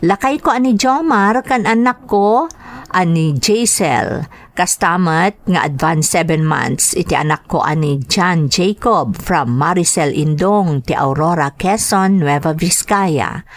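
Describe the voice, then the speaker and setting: A woman's voice: on the low side, lively intonation, takes it slowly. A woman with a Filipino accent hosting a radio show, reading out greetings and listeners' letters. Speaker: radio host and letter reader.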